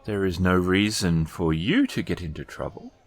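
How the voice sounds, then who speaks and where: A man playing a formal, terse widowed noblewoman in a tabletop game, speaking in measured, clipped phrases. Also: low voice, very animated, no echo, full character voice. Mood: cool, formal. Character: formal, terse widowed noblewoman requesting her husband's swords.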